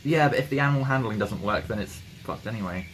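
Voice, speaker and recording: A low-voiced man chats casually as a tabletop player. His talk is quick, and the room around him is clearly noisy. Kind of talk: casual chat.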